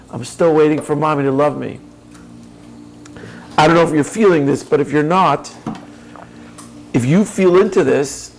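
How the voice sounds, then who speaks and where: A man's voice: on the high side, gravelly, low-quality recording. A man giving a reflective coaching talk, earnest and probing, speaking directly to the listener. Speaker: speaker on personal growth.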